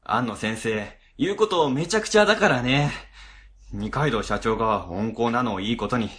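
An actor voices a worried section chief in a radio drama. The delivery is conciliatory and hesitant, with lively swings in pitch. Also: normal speed, lightly acted. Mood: resigned. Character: anxious, placating government section chief. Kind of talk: web radio drama acting.

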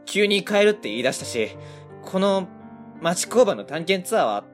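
A woman with a Kansai accent voicing a kindly, soft-hearted middle-aged man who runs a small factory, in an amateur radio drama. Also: lowish voice, sing-song, moderate pace, faint music behind. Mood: hesitant. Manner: gentle, humble, easily moved.

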